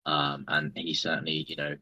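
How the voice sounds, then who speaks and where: A man's voice: rumbling low, monotone, normal speed, bright, forceful tone. An English man speaking as a guest on a show, easygoing and hesitant, with lots of ums. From England. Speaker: guest player. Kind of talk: casual interview chat. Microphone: voice call. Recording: dull lo-fi online call audio.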